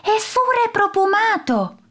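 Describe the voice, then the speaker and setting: A woman voicing a young girl who slowly mixes up her consonants. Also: very high voice, big pitch swings, fairly bright tone, pitched above the performer's own voice, heavily acted. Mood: earnest. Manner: slow, mixed-up speech.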